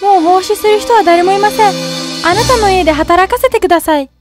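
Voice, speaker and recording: A clever, loyal young servant girl, as a woman voices her in a storybook tale. She sounds calm and composed, with a very high voice over soft music. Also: brisk. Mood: pleading. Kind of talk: storybook voice acting.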